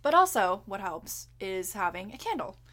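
A woman's voice: medium pitch, sing-song, normal speed, a bit bright. A young woman with Cantonese as her first language, co-hosting a podcast in a chatty, giggly way and swearing casually. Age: young adult. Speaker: podcast co-host. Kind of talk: casual chat.

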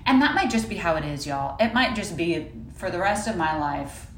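A woman's voice: lowish voice, animated, normal speed. A woman in her thirties co-hosting a podcast, speaking encouragingly and directly to her listeners. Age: 30s. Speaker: podcast co-host, women's coach. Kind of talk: casual chat. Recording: roomy room with faint noise.

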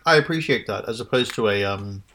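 Halting man, lowish voice. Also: lively intonation, sharp tone. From Norway. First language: Norwegian. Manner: halting, many fillers and restarts. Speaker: podcast co-host. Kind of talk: casual film chat.

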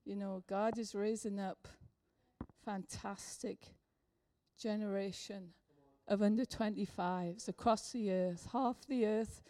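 An older woman preaching a sermon, a little hoarse from a cold, effusive and exclamatory. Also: medium pitch, fairly even intonation, slow, mellow.

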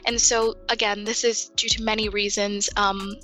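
A woman's voice: medium pitch, level tone, normal speed, fairly bright tone, soft background music. A young woman from the United States, a guest on a casual podcast, talking in an earnest, articulate way and laughing easily. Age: young adult.

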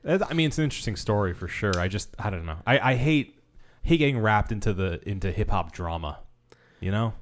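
Deep-voiced laid-back podcast co-host. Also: male voice, lively intonation, brisk, slight background noise. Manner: dry, laid-back, short quips. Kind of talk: casual chat over beer.

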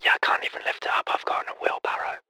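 A man voicing a scheming male vandal who whispers down a phone in a breathy, gravelly hush. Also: rapid-fire. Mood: conspiratorial. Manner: hushed, conspiratorial, then shouting in triumph. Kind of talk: improvised comedy bit.

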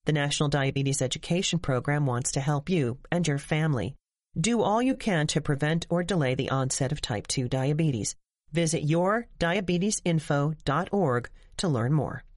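An announcer reading a scripted health ad in an even, informative way. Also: medium pitch, normal speed, no room sound, studio quality.

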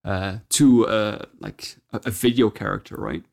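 A man's voice: on the low side, steady tone, measured pace, vocal fry, darkish tone. Hesitant man co-hosting an interview. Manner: hesitant, many fillers. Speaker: podcast co-host. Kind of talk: casual interview chat.

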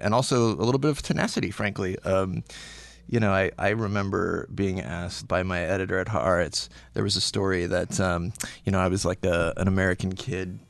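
A man's voice: low-pitched, steady tone, fairly quick, vocal fry, no echo. A middle-aged man from Arizona, a podcast guest answering questions in a casual, hesitant way with many restarts. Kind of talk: casual interview conversation.

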